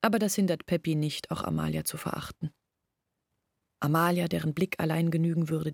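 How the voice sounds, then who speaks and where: An embittered young village woman in an intimate literary radio play. Her voice is fairly low and gravelly, recorded very dry and close. She confides her family's shame earnestly, with measured phrasing. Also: a little mellow, no echo. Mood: bitter, earnest. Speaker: radio drama actor.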